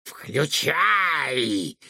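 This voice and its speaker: A man playing a bossy shouting villain doctor. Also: medium pitch, sing-song, very slow, vocal fry, no echo, clean studio sound, heavily acted. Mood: commanding. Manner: barking commands. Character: bossy blaster-waving male villain doctor.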